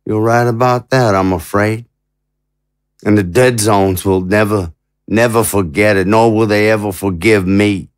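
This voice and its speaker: An actor playing an anguished, indignant and naive president in an audio drama, with stammering, rhetorical speech. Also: male voice, on the low side, steady tone, a little slow, smooth, no echo, clean studio sound, lightly acted. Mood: anguished regret. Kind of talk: dramatic audio fiction acting.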